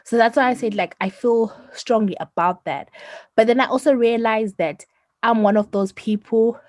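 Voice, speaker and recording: A woman's voice: on the high side, normal speed, a little mellow, no room sound. A woman from Zimbabwe co-hosting a podcast, chatting casually and animatedly, often laughing and ending her points with "right?". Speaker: podcast co-host. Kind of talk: casual chat.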